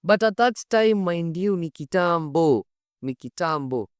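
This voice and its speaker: A man's voice: fairly high pitch, expressive, a little slow, clean tone, dry recording, studio quality. A man with a Kenyan accent hosting as a storyteller, conversational and emphatic, repeating phrases and throwing out rhetorical questions. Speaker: host, storyteller. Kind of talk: animated conversational storytelling to camera. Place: studio set.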